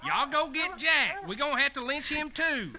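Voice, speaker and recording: A woman's voice: high voice, very animated, normal speed, noisy background. A woman voicing a brutal man in a lynch mob who talks in coarse, clipped barks. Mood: menacing.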